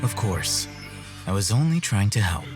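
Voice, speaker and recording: Cool aloof scholar for a game, lowish voice, formal and measured, music under it. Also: male voice, very animated. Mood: calm, analytical. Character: composed, aloof young male scholar. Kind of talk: video game voice acting.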